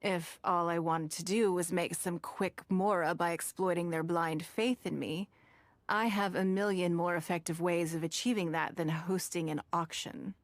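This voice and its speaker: An actress voicing a composed, shrewd and wealthy woman who hosts an auction in a game, speaking in a measured, faintly amused way. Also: lowish voice, fairly even intonation, natural voice. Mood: poised. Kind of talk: game voice acting.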